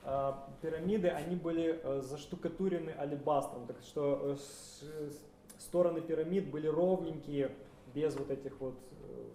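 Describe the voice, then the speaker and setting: A man's voice: fairly high pitch, fairly even intonation, moderate pace, mellow, a little hiss or hum. A man interpreting a sermon live, hesitant, with filler sounds and frequent self-corrections. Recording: roomy hall with faint ambience.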